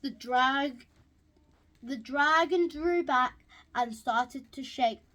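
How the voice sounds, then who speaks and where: High-pitched, slow, halting storybook reader, female. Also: fairly bright tone. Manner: halting, stumbles and repeats words while reading. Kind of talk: reading a rhyming children's picture book aloud.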